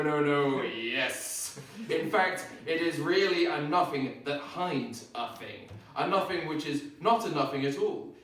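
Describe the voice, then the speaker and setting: A man's voice: on the high side, fairly bright tone, slight background noise. A man performing a live spoken-word comedy set, rattling through chaotic, rambling wordplay and acting out both sides of an argument. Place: live event venue on stage. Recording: very echoey room, mic at a distance.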